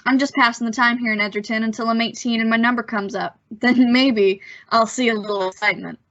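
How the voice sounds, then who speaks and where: A woman's voice: on the high side, fairly even intonation, a little fast, highs cut off, noisy background, natural voice. A woman playing an earnest, plucky teenage boy in an old-time radio suspense play, with a hesitant gee-whiz manner. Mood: earnest recounting.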